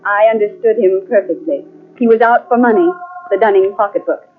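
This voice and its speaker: An actress in an old-time radio mystery playing a guarded, principled secretary who speaks with formal restraint. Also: female voice, mid-range voice, a little fast, over loud music, natural voice. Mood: grave recounting. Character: guarded, principled female secretary narrating her ordeal. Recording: tinny, band-limited old radio cassette transfer.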